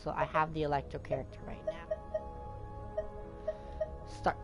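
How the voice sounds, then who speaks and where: Female gamer, low voice, casual play-along commentary, loud game music behind. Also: level tone, moderate pace. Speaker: video game streamer.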